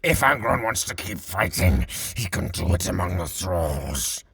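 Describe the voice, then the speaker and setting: Husky, growling, battle-maddened warrior officer. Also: male voice, low voice, big pitch swings, unhurried, fairly bright tone, voice pushed down, full character voice. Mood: strained, grim. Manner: strained, clipped commands. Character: battle-maddened armored warrior officer barely holding back bloodlust.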